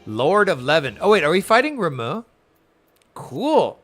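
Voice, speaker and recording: A man's voice: a little high, sing-song, unhurried, a bit bright, faint music behind. A man giving casual commentary as he streams himself playing a video game.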